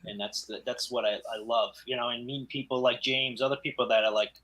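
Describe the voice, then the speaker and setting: Male indie filmmaker, medium pitch, sharp bright tone, casual, conversational. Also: steady tone, fast, a little hiss or hum, rough recording. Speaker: independent filmmaker guest. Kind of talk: casual chat.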